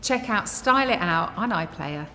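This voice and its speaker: A woman presenter speaks in a lowish, earnest voice that stays upbeat as she talks to camera. Her voice has a light hall reverb, with music playing softly underneath. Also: expressive, normal speed. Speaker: presenter and show creator. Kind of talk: promo interview to camera.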